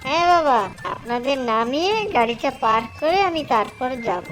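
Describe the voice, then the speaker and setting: A man's voice: very high voice, expressive, moderate pace, music in the background. A man voicing a cheerful, boastful young son-in-law in a family cartoon, with playful delivery. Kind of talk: cartoon voice acting.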